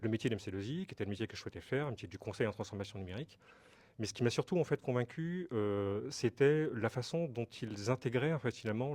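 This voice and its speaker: A man's voice: on the low side, darkish tone. A middle-aged man from Brittany, France, answering interview questions as a company director, explaining hesitantly with many fillers and repeated words.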